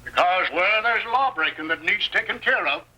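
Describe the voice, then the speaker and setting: An actor playing a spirited, folksy old American prospector with a raspy voice in a radio Western. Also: male voice, high-pitched, expressive, moderate pace, bright, forceful tone, full character voice. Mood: spirited, affectionate. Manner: folksy rustic exclamations. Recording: vintage radio recording, tinny.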